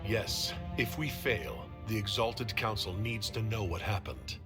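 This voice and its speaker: A man playing a weary, determined heroic leader in a fantasy video game, speaking gravely and resolutely. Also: on the low side, big pitch swings, a little slow. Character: weary, determined male hero, a dying leader. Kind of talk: dramatic video game acting. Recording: loud music behind.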